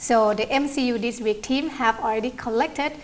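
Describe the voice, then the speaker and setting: A woman co-hosting a university TV show, reading a brisk link to the next segment. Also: fairly high pitch, a little hiss or hum. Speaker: co-host. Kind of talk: scripted TV link.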